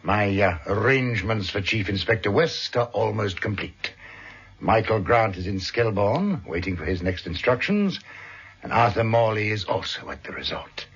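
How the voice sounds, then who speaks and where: An actor playing a suave, cold criminal mastermind in a vintage radio drama, speaking in a measured, pompous, formal way. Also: male voice, lowish voice, expressive, fairly bright tone, breathy edge, exaggerated voice. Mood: cold, self-satisfied. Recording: dull, muffled vintage radio recording.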